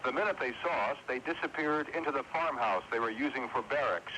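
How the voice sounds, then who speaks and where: Male American news correspondent. Scripted dispatch, medium pitch, crisp forceful tone, old radio feed with light noise. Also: fairly quick. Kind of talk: scripted field report. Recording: faintly noisy 1980s radio report feed.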